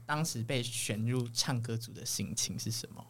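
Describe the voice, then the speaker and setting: A man's voice: mid-range voice, moderate pace. A man with a Taiwanese Mandarin accent and a mid-range voice hosts a casual entertainment chat. His delivery is playful and teasing, as a podcast host.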